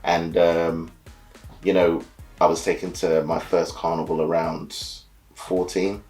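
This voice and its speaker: Deep-voiced man, relaxed carnival talk. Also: slow, soft background music, poor audio. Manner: relaxed, easygoing, lots of "you know" and "so yeah". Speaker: carnival band leader and soca DJ. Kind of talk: casual explainer talk to camera.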